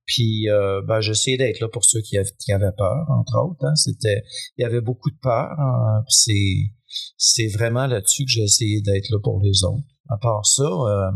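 A man's voice: lowish voice, normal speed, creaky. Laid-back Québécois man, rambling. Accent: Québécois French. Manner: relaxed, rambling, many fillers. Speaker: guest. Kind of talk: casual conversation.

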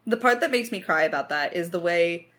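A woman's voice: fairly low pitch, expressive, a little fast, a bit bright. A woman chatting casually in a creator Q&A, effusive and playfully dramatic, rambling along in slangy speech. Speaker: lead writer and voice actor of an audio drama.

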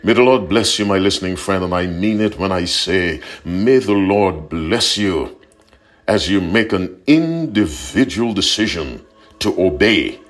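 A man delivers a short broadcast sermon in a very deep voice. His delivery swings widely in pitch, earnest and deliberate, and he repeats his key points for emphasis. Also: expressive. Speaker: preacher.

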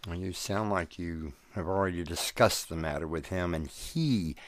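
A man's voice: sits low, normal speed, close to an everyday voice. An actor playing a curious young man in love, asking probing, impatient questions. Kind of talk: audio drama acting.

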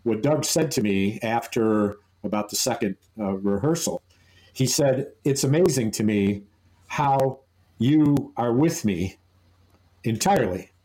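Man, podcast guest, mellow, warm tone, rambling playful storyteller. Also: mid-range voice, expressive, a little slow, rough recording. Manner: playful, slips into silly put-on voices. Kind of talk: casual chat.

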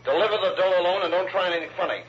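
An actor playing a tough, menacing kidnapper in an old radio crime drama, heard as a deliberate, threatening taped message. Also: male voice, high-pitched. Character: menacing, slangy male kidnapper giving ransom orders. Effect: played back through a tape machine. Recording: muffled, noisy taped message on vintage radio.

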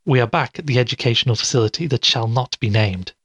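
A man playing a sceptical podcast host, tired, casual, understated, mid-pitched, dry studio sound, audio drama. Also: quick pace, fairly bright tone, husky, no echo. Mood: measured narration. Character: sceptical, tired male podcaster investigating a mystery.

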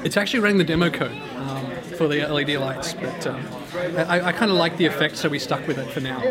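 A man's voice: fairly high pitch, fast. A man with a slightly worn voice, chatting enthusiastically about his project in an interview at a busy fair. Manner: chatty, enthusiastic, many restarts. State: voice getting worn. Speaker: maker showing his project. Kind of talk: casual interview at an event. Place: busy indoor fair hall. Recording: loud crowd noise behind. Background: loud crowd chatter.

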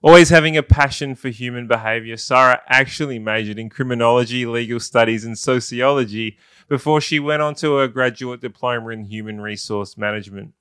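A man hosting a podcast, reading an upbeat intro and then asking relaxed interview questions. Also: mid-range voice, fairly quick, clean tone, dry recording, clean studio sound. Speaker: podcast host.

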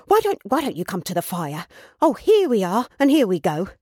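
A woman voicing an excitable, kindly old father, a poor porter who talks in a breathless, repetitive bustle in a storybook reading. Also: medium pitch, moderate pace, dry recording, studio quality, pitched above the performer's own voice. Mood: giddy, frantic. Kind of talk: storybook character voice.

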